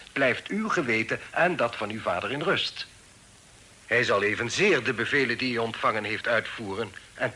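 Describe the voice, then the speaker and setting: An actor playing a proud, quick-tempered middle-aged fugitive father in a period radio play, speaking formally and insistently. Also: mid-range voice, bright, forceful tone. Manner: formal, insistent, eloquent old-fashioned speech. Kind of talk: period radio drama acting. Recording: hissy old radio play recording.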